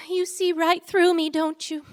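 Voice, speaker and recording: A woman's voice: very high voice, takes it slowly. An actress playing a wistful, restless small-town woman in an old-time radio drama. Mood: wistful, sad.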